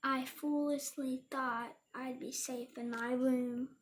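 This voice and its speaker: A woman's voice: sits high, level tone, slow pace, a little hiss or hum. A young girl reading a rhyming storybook aloud and chatting casually, stumbling over and repeating words. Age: child.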